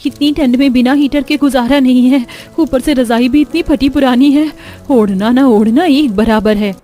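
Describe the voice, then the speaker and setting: A woman's voice: high voice, normal speed, mellow, faint music behind, pitched above the performer's own voice, lightly acted. A woman playing a poor, worried daughter-in-law in a cartoon family story, speaking in a plaintive, homely manner. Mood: weary.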